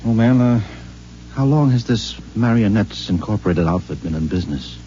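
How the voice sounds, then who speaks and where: An actor playing a henpecked, eager friend in a 1950s radio drama, coaxing and hesitant with many fillers, on a dull old recording with faint hiss. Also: male voice, lowish voice, expressive, normal speed, mellow, close to an everyday voice. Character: henpecked middle-aged friend, eager and wheedling.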